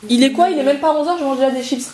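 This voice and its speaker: A woman's voice: high voice, rapid-fire, a little mellow, echoey room, a little hiss or hum. A young woman with a head cold chatting casually in a home vlog, with lots of hesitations. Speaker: vlogger. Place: at home indoors.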